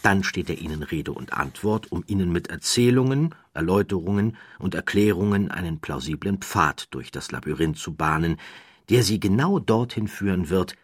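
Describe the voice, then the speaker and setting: A man's voice: low voice, lively intonation, normal speed, slightly rough, no room sound, clean studio sound. A man narrating an audiobook, telling the story dramatically with rising and falling emphasis.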